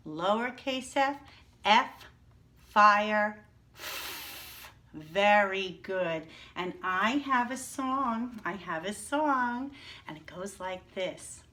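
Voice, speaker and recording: Slow bright lady teacher doing letter sounds with little kids, lots of "say it with me". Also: on the high side. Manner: encouraging, slow and drawn out, calls for repetition. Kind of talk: early-reading lesson for young children.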